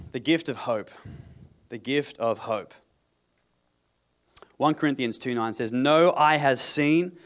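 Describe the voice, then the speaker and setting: A man preaching a sermon as pastor, repeating phrases, cracking asides and asking the congregation for an amen. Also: sits high. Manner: repetitive, rhetorical, calls for amen; jokey asides.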